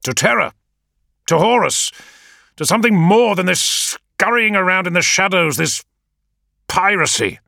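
A man voicing a proud, fiery male war leader who speaks in a raised, forceful way. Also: on the low side, sing-song, moderate pace, no room sound, clean studio sound, pitched above the performer's own voice. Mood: angry. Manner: forceful, raised, impassioned delivery.

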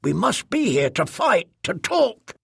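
Man voicing a small, earnest alien female, pitched high. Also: very animated, a little slow, creaky, a bit bright, husky, no echo, studio quality, heavily acted. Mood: confused, insistent. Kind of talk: audiobook character dialogue.

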